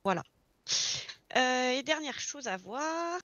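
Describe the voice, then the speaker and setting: A woman's voice: sits high, expressive, slow pace, fairly bright tone, dry recording, dull audio. A woman explaining things step by step in an informal, casual roleplay chat. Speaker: experienced garage employee training a new recruit in online roleplay.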